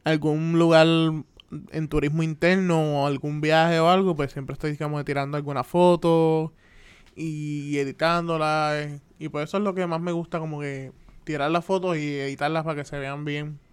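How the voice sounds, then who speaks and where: A man's voice: a little high, little pitch movement, normal speed, clear, resonant tone. A man in his twenties with a Puerto Rican accent, hosting a casual solo podcast and chatting loosely, with lots of "este" and "pues" fillers. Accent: Puerto Rican Spanish. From Puerto Rico. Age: 20s. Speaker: podcast host.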